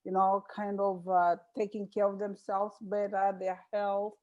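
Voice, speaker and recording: A woman's voice: medium pitch, flat delivery, a little slow, a little mellow, highs cut off. Tanzanian woman telling her story. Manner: earnest, reflective storytelling. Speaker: missionary sister, guest. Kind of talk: casual conversation on a video call. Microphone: video call.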